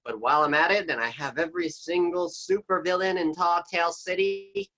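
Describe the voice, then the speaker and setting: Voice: medium pitch, fairly even intonation, brisk, a bit bright, dull audio, natural voice. An actor playing a scheming big bad wolf who gloats and chuckles in a community theatre show. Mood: gloating.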